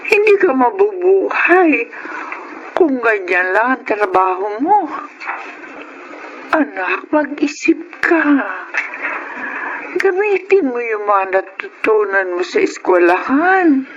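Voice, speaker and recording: A man playing a gentle, worried Filipino mother who pleads and admonishes in a radio drama. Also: extremely high pitch, very animated, takes it slowly, long pauses, lo-fi sound, full character voice. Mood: gently admonishing. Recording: faintly noisy radio drama recording.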